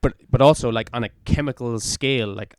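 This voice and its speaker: A man's voice: mid-range voice, a little fast, background noise. A man in his twenties co-hosting a podcast, talking in an earnest, rambling way full of fillers. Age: 20s. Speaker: podcast co-host. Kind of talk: casual chat.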